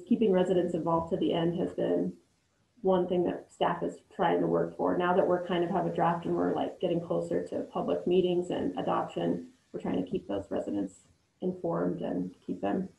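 A woman's voice: lowish voice, creaky, warm tone. A young woman city planner giving a casual, filler-heavy panel update over a video call. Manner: casual, filler-laden, says "like". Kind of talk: conference panel presentation. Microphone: webcam on video call. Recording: echoey room on video call.

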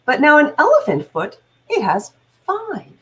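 A middle-aged woman teacher reading aloud to children, deliberate, adding explanations and questions for young listeners. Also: high voice, huge pitch swings, a bit bright. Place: small room at home, indoors.

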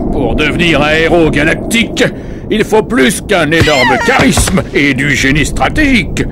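A pompous cowardly superhero. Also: male voice, sits high, gravelly, background noise, full character voice. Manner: pompous, self-praising. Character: boastful, cowardly male superhero captain. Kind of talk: French video game dub.

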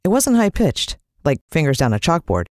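An American woman narrating a children's story in the first person, expressive and suspenseful. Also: sits low, moderate pace, no echo, clean studio sound. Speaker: audiobook narrator.

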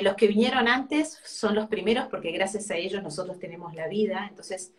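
A middle-aged woman with an Argentine Spanish accent explains things reflectively on a video call, often checking in with short tag questions. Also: medium pitch. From Argentina, born in Brazil. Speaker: host, family constellations teacher. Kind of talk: casual live video conversation, explanatory. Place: furnished room at home.

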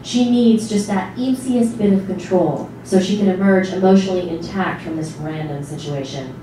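A woman's voice: lowish voice, moderate pace, warm tone. A woman giving a scripted dramatic narration as a stage reading. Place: small stage before a seated audience. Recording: distant mic, very echoey hall, noisy.

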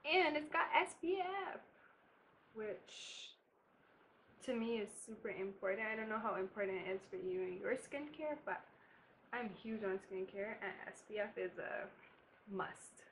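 A woman's voice: mid-range voice, expressive, a little slow, fairly bright tone, a little hiss or hum, poor audio. Chatty young woman from Barbados. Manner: chatty, casual, laughing asides while applying makeup. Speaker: beauty vlogger. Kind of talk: chatty makeup tutorial. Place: bedroom, indoors.

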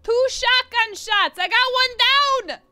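A woman's voice: very high-pitched, takes it slowly. A young woman live streaming a video game with casual, giggly commentary and some easy swearing.

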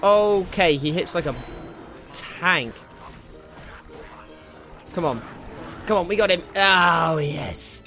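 Bright-voiced man commentating on a video game. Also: sits high, slow, pauses often. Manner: jokey, slangy, prone to exclaiming and swearing. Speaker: gaming video host. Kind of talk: casual, excitable game playthrough commentary. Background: game music.

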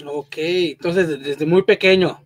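High-voiced man asking short questions. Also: unhurried, no room sound. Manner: brief, friendly questions. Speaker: interviewer. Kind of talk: casual interview over video call. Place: home room indoors.

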